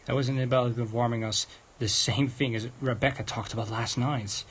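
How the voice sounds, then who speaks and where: A man livestreaming a visual novel, reading its text aloud in a halting, stumbling way and adding off-script comments. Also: medium pitch, fairly even intonation, a little hiss or hum. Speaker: game livestreamer. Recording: music behind the voice.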